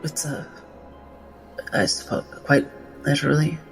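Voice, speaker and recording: A man playing a composed, formal female doctor in a tabletop game, hesitant, halting and softly stammering. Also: fairly high pitch, gravelly, faint music behind, rough recording. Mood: awed, hesitant.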